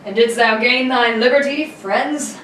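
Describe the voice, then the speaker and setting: A woman's voice: a little high, a little slow, fairly bright tone. A woman reading a noble male prince in a table read of an old radio serial, using archaic, formal thee-and-thou phrasing. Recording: roomy, distant, noisy mic.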